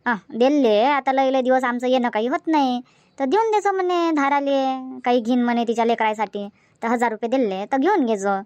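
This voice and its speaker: Woman playing a high-voiced rural Marathi village granny. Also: a little fast, darkish tone, no room sound, natural voice. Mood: homely, explaining. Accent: Varhadi Marathi from Vidarbha. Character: older rural Marathi mother-in-law and grandmother, homely, instructing. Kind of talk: amateur cartoon comedy dubbing in village dialect.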